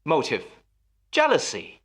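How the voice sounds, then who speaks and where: A man's voice: fairly high pitch, huge pitch swings, unhurried, fairly bright tone, full character voice. An actor in a radio play as a jealous husband, musing theatrically and teasing his wife with menace. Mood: playful menace.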